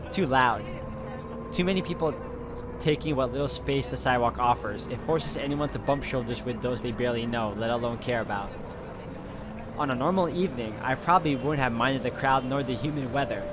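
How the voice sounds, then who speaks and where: Male game player as narrator, reading story text in a fairly high voice, clear plucked guitar music behind. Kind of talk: reading visual novel narration aloud.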